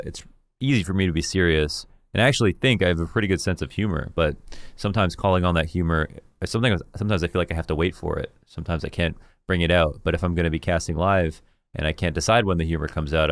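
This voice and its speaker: Deep gravelly-voiced man, candid. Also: fast, no room sound, clean studio sound. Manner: candid, rambling, self-critical. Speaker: esports commentator. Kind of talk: reflective talk reviewing his own casting.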